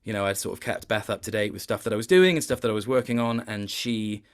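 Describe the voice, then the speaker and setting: A man's voice: lowish voice, fast talker, clear, resonant tone. A man speaking as a voice actor in a casual interview, thoughtful and self-deprecating, often feeling his way to the point.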